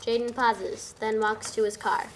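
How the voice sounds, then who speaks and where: Higher-voiced woman reading stage directions, noisy recording. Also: steady tone, a little slow. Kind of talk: scripted narration at a table read. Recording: noticeable background noise, amateur room recording.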